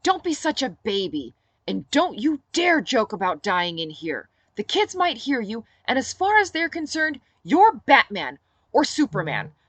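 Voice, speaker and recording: A woman voicing a sharp, bossy, sarcastic married woman in a story reading. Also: on the high side, very animated, a little slow, husky, dry recording, pitched above the performer's own voice, full character voice. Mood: furious, scolding. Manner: snappy, sarcastic, emphatic.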